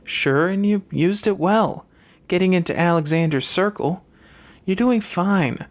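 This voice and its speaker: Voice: mid-range voice, a little slow, pitched above the performer's own voice, natural voice. A reader voicing a calculating young girl from a wealthy family in an audiobook, airy and pointed with a haughty disdain. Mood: resentful, grudging.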